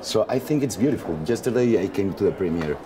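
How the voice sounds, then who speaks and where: A man from Mexico with a Mexican Spanish accent, speaking English as a second language in a casual interview and often pausing to search for words. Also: lowish voice, animated, noisy background. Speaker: actor, interview guest.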